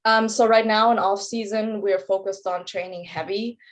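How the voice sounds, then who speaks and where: A woman's voice: mid-range voice, fairly even intonation, moderate pace. A young woman from Germany with a German accent, chatting casually as an interview guest and athlete. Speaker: interview guest, competitive bodybuilder.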